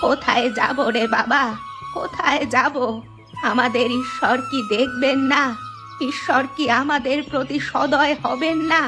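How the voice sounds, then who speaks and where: A woman's voice: sits high, fairly even intonation, measured pace, music in the background. A woman playing a distressed, lamenting poor village woman in a cartoon, with a plaintive, wailing delivery. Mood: plaintive, worried. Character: distressed, lamenting poor village women who lost their home. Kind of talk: Bengali cartoon dialogue acting.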